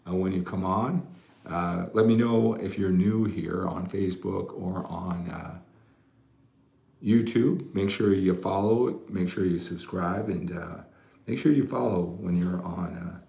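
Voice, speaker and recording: A man's voice: low voice, vocal fry, warm tone. A mature American man who works as a fitness coach, chatting casually to livestream viewers in a rambling way, often tagging his sentences with "right?". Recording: roomy, echoey room sound.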